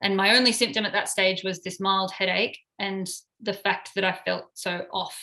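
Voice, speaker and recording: A woman's voice: medium pitch, steady tone, moderate pace, pushed and bright. A woman in her thirties, a paramedic, recounting her story in an interview with frequent hesitations and fillers.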